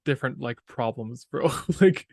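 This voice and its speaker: A man's voice: medium pitch, expressive, normal speed, gravelly, darkish tone, no room sound. A young man from Canada, a podcast guest chatting in a laid-back, slangy way. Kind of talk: casual conversation. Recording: dry, close sound.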